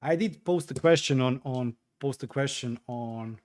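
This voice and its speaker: A man's voice: mid-range voice, a little slow, a little mellow. Chatty man streaming from home. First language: Croatian. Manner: hesitant, chatty, many fillers and restarts while tinkering. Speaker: livestream host. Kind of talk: casual livestream chat, answering viewer comments.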